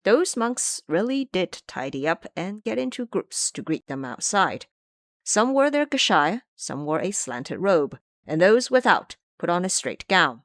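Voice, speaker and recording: A woman narrating a scripted audio drama in an expressive, theatrical storytelling manner. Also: fairly low pitch, a little slow, dry recording, clean studio sound.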